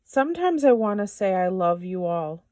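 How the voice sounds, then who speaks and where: A woman's voice: mid-range voice, big pitch swings, moderate pace, a little mellow, dry recording, clean studio sound, natural voice. A woman voicing a shy, affectionate little mole from a storybook in an earnest, hesitant manner.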